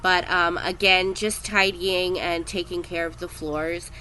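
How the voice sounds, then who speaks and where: A woman's voice: on the low side, measured pace, a little hiss or hum, poor audio. A woman in her thirties with a head cold and a stuffed-up voice, chatting casually in a voice-over about cleaning her home. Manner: chatty, relaxed, with frequent ums. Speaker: cleaning vlogger.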